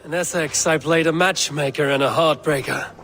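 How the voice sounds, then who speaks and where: An actor playing a bold, determined young Viking leader in a video game, resolute and formal in speech. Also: male voice, on the high side, fairly even intonation, moderate pace, over music, lightly acted. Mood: solemn. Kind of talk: dramatic video game acting.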